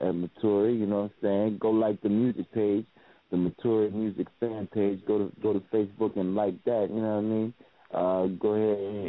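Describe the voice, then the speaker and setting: American male artist guest, lowish voice, informal plugging, tag phrases, dry telephone audio. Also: no room sound. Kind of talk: casual plug of own music. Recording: muffled dry phone line.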